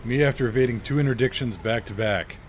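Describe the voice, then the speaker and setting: A man's voice: mid-range voice, fast, slight background noise. A man from Iowa chatting casually on a live game stream, rambling and joking as he thanks viewers. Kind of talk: casual live-stream chat while playing.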